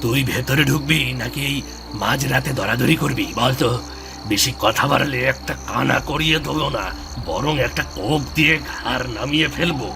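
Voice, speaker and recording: A man voicing a bossy, menacing bandit chief in a cartoon, rough and impatient in manner. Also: medium pitch, moderate pace, music in the background, close to an everyday voice. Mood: threatening, impatient. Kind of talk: dramatic cartoon dubbing. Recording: clear night insect noise behind. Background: crickets, frogs, night insects.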